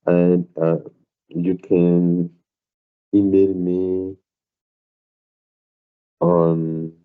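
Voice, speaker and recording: A man's voice: deep voice, little pitch movement, extremely slow, clear, resonant tone. A man lecturing a maths class over a video call, speaking English with a non-native accent and stumbling through lots of repeated words and fillers. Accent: non-South African, foreign to English. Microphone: computer mic on a video call. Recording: dull lo-fi online audio.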